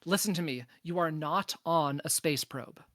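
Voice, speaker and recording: A woman playing a wise, protective space probe in a podcast table read, speaking in a measured, firm, reassuring manner. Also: low-pitched, a bit bright, dry recording, studio quality.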